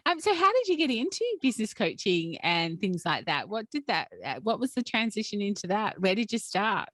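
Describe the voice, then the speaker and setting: Australian woman, podcast host, middle pitch, dry close sound, eager and chatty. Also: big pitch swings, fairly quick, a bit bright, dry recording. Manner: enthusiastic, chatty, with fillers. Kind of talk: casual interview chat. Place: rural home.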